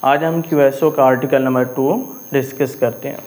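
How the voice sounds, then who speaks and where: A man with a Pakistani accent lecturing as a law teacher, explaining a text point by point and often pausing to check understanding. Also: mid-range voice, fairly even intonation, a little mellow. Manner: didactic, repeatedly checking understanding.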